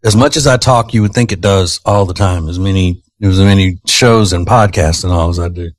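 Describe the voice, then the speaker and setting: A man's voice: deep voice, brisk, no echo. A male radio host chatting casually on a morning show, his voice slightly strained. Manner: chatty, teasing, rambling. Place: radio studio. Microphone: studio microphone.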